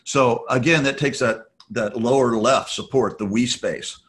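A man's voice: medium pitch, fairly even intonation, a little slow, fairly bright tone. A man talking in a reflective, rambling way, with many fillers and restarts, as a podcast guest. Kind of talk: reflective conversational talk.

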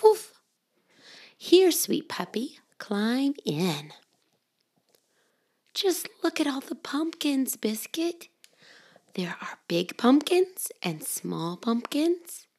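A woman hosting and reading children's storybooks aloud in an expressive, simple way for young children. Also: mid-range voice, slightly rough. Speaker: children's storybook podcast host and reader.

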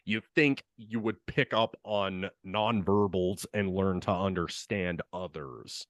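A young man with a deep voice, casually telling a work story on a podcast and swearing as he vents. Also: slow, a bit bright, no room sound. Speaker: podcast co-host.

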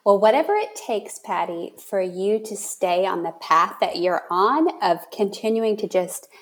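A woman with a medium-pitched voice chats as a podcast host. Her talk is informal and effusive, full of encouragement for the person she is speaking with. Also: measured pace. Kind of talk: casual interview chat.

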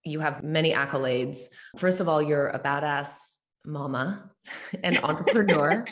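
A woman's voice: sits low, measured pace, a bit bright. A woman hosting a podcast interview, upbeat and casual, laughing often and swearing now and then. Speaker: podcast host.

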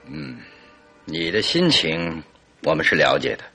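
An actor playing a calm, probing gentleman detective in a radio drama, speaking in a measured, deliberate way. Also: low-pitched, vocal fry, husky, faint music behind, heavily acted. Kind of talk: Mandarin radio drama acting.

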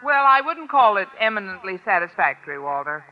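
An American actress playing a wry, scheming high school teacher with a dry, mock-deferential manner in an old-time radio comedy. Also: female voice, big pitch swings, fairly quick, background noise, lightly acted. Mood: dry, resigned. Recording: muffled vintage radio recording.

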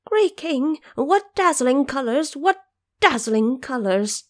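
A woman voicing fawning, excitable old monkey subjects in an audio drama, full of wide-eyed exclamation. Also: high-pitched, takes it slowly, voice pushed up. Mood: dazzled. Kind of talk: audio drama character acting.

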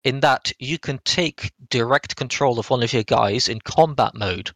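A man co-hosting a podcast, casually reviewing a game in a halting, rambling way with frequent hedging and rephrasing. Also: medium pitch, a bit bright, no echo. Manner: hesitant, halting delivery, often hedging and rephrasing.

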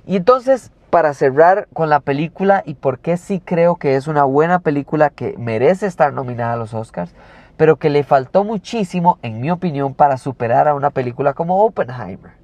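A man's voice: on the high side, animated, fairly quick, a little mellow, dry recording. Emphatic Costa Rican man reviewing films. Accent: Costa Rican Spanish. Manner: emphatic, rhetorical questions to the viewer. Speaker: film reviewer. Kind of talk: opinionated film review.

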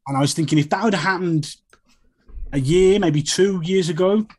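A man's voice: sits high, moderate pace, a little mellow. A man calling into a podcast, nasal and stuffed up from a sinus infection, rambling easily through his thoughts. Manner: easygoing, thinks aloud in rambling sentences. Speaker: caller. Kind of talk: casual chat.

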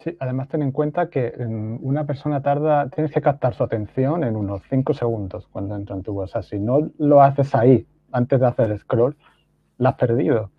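A man speaking as the guest in a casual interview over a video call, explaining slowly with many "eh" pauses. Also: medium pitch, husky, no echo. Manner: hesitant, many "eh" fillers and restarts, explanatory. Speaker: guest, online course copywriter. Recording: dry tinny call audio.

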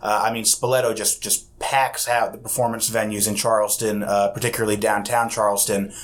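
A man with a fairly low voice talks about the arts festival he directs. He explains things at length in an easygoing, affable way, dotting his answers with "uh" and restarts. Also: level tone, fairly quick. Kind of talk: casual interview answers.

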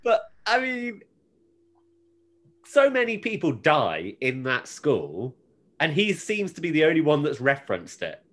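A man co-hosting a podcast, telling the story of an episode in an informal, halting, conversational way. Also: on the high side, huge pitch swings, fairly bright tone. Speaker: podcast co-host. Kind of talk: casual episode recap, conversational.

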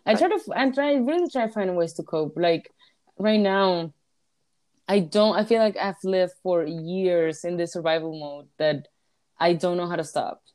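A woman's voice: on the low side, normal speed, a little mellow. A young woman from Venezuela, a native Spanish speaker with a Venezuelan accent, co-hosting a podcast in a rambling, self-mocking casual chat. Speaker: podcast co-host.

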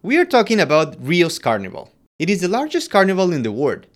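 A man from Ecuador co-hosting a podcast, reading a scripted history segment in an expressive way. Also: brisk. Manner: animated, expressive delivery with wide pitch swings. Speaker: podcast co-host.

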